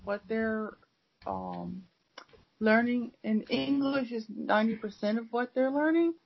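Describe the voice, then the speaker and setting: A woman's voice: a little high, very animated, measured pace, vocal fry, husky, faint music behind. A woman, an English teacher, chatting casually and rambling with many fillers and restarts. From United States. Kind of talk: casual conversation. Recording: dull lo-fi audio.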